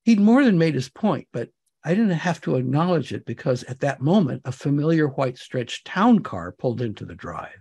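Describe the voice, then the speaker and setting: A man giving an expressive, wry and theatrical narration of comic fiction, as an author reading his own work. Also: on the high side, normal speed, breathy edge.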